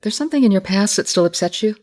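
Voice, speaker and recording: Casting: actress as sympathetic questioner, gentle and probing, medium-pitched with animated inflection, radio drama. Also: female voice, quick pace, natural voice. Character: probing, sympathetic woman confidante. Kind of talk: comic science fiction radio drama.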